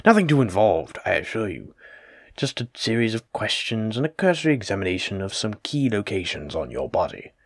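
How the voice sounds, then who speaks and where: A plague doctor, acted out by a man speaks in a lowish voice, close and dry. He is formal, polite and a little self-important, choosing old-fashioned words in a fussy, evasive way, as in an audio roleplay addressed straight to the listener. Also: very animated, a little fast, no echo. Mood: polite, insistent.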